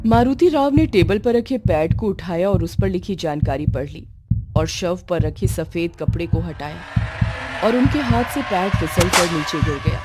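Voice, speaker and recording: A woman narrating a scripted horror story. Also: fairly low pitch. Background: loud music.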